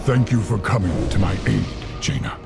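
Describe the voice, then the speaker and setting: Gruff orc warrior, weary, very gravelly, unhurried, loud game music behind. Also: male voice, lowish voice. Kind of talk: video game voice acting.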